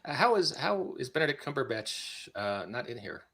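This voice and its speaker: A male podcast host with an American accent and an average-pitched voice. He talks informally and jokes along the way, in the loose style of casual chat. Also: sing-song, normal speed, a bit bright. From United States. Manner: jokey, informal.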